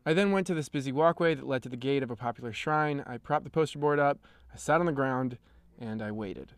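A young American man with an American accent, hosting a podcast and telling a travel story in measured scripted narration. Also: mid-range voice, clean tone, no echo. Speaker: podcast host.

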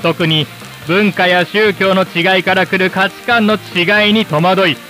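Man playing a high-voiced formal TV presenter. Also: steady tone, sharp tone, faint music behind. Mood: formal, earnest. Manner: formal, solemn, measured wording. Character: earnest, formal television presenter nostalgic for Showa. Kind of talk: scripted broadcast announcing.

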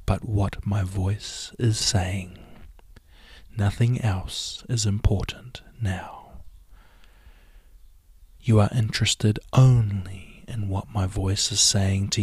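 A man guiding a hypnosis and relaxation session in a soft, soothing, often half-whispered delivery. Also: lowish voice, level tone, slow, breathy edge, no echo. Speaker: hypnotherapy guide. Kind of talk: guided hypnosis and relaxation script.

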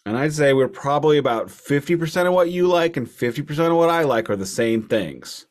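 A man co-hosting a podcast, casually discussing albums in a wry, deliberate way, repeating phrases for emphasis. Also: on the high side, expressive. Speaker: podcast co-host reviewing albums.

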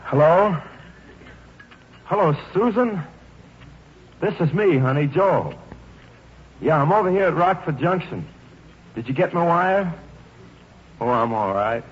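Muffled old-radio young American soldier. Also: male voice, on the high side, animated, slow, long pauses, husky, full character voice. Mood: anxious, tender. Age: young adult. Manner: hesitant, earnest, stammering over words. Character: polite, eager young soldier heading to see his girl. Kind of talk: old-time radio drama acting.

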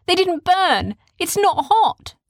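A woman's voice: very high-pitched, very animated, takes it slowly, dry recording, clean studio sound, voice pushed up, heavily acted. A woman voicing a bold, curious young boy. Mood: insistent.

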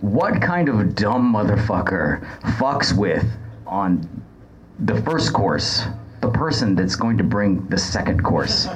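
A man's voice: lowish voice, sing-song, slow pace, rough recording. A man chatting casually as a podcast guest, with a crude, joking way of talking. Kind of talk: casual chat.

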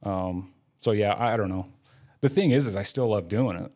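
A man in his forties with a fairly low voice tells stories as a podcast guest. He rambles loosely, leaning on "like" between nearly every phrase, in an easygoing, conversational way. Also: moderate pace, mellow. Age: 40s. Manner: rambling, heavy use of "like" fillers. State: laid up with a broken leg. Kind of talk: casual storytelling.

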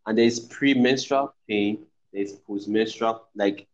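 Blunt low-voiced man, dull lo-fi audio. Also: takes it slowly, a little mellow, a little hiss or hum. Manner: blunt and emphatic, swears, says "bro" a lot. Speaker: podcast guest. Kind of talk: casual chat. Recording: dull lo-fi sound.

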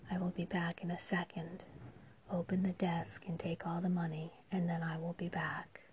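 An honest young peasant woman pleads in a hushed, urgent whisper, as a female storyteller gives her voice. The whisper sits low and mellow with little rise and fall. A faint hum runs underneath. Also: monotone, moderate pace, natural voice. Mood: urgent pleading.

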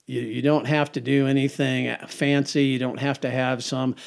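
An older man talks through his songwriting habits in a loose, meandering way. He restarts phrases, repeats words and laughs softly to himself. His voice sits at an ordinary middle pitch. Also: little pitch movement, normal speed, vocal fry. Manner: rambling, repeats words, chuckles often. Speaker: singer-songwriter guest. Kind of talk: casual interview answers.

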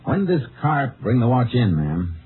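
An American man playing a steady, tough Texas Ranger in a 1950s radio drama, speaking in a terse, matter-of-fact way. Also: mid-range voice, moderate pace, narrow, tinny audio, noisy background, natural voice. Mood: firm, probing. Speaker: lead actor and narrator. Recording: thin 1950s radio recording, music behind.

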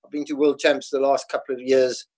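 A man's voice: medium pitch, flat delivery, fairly quick, darkish tone. A South African man in his fifties, a guest in casual conversation, explaining things patiently and laughing easily. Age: 50s. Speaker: podcast guest.

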